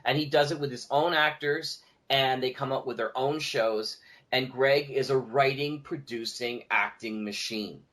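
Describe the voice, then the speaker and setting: A man's voice: mid-range voice, a little slow, a bit bright. A man talking casually as a guest on a podcast, speaking emphatically and repeating key words for stress.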